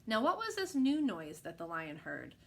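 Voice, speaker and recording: A woman reading a children's picture book aloud with expressive narration. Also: mid-range voice, moderate pace, vocal fry.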